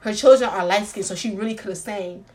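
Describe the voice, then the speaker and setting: A woman's voice: medium pitch, fast, over music. A woman in a casual, opinionated chat, talking emphatically and conversationally with plenty of slang. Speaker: co-host or panelist.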